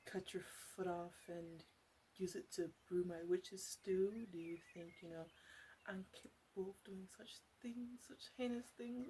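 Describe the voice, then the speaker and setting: A woman playing a flirtatious, chatty witch of the woods in an ASMR roleplay, speaking in a soft, hesitant, playfully rambling way. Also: mid-range voice, slight background noise, lightly acted. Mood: playful, teasing. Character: flirtatious, teasing forest witch, wry and chatty.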